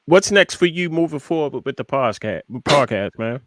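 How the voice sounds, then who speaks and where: A man's voice: fairly high pitch, animated, normal speed, dry recording. A man hosting a casual interview, laid-back, mostly giving short affirming replies. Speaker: talk show host.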